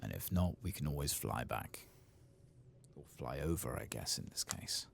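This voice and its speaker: A man with an extremely deep, gravelly voice speaks softly, drifting now and then toward a whisper. He narrates a video game in an ASMR style, unhurried and musing, with lively intonation that rises and dips. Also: slight background noise. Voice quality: soft, hushed, sometimes slipping into whispers. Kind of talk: soft-spoken ASMR game playthrough commentary.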